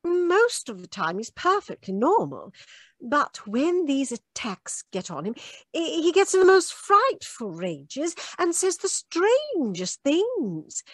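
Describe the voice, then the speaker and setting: A woman plays a clever, modern-minded Victorian lady in a radio mystery. Her voice is fairly high and swoops expressively, formal yet emphatic. The sound is dry and close. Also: huge pitch swings, slow, a bit bright, no echo, exaggerated voice. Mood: worried. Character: clever, earnest, advanced young Victorian gentlewoman. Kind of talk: old-time radio mystery acting.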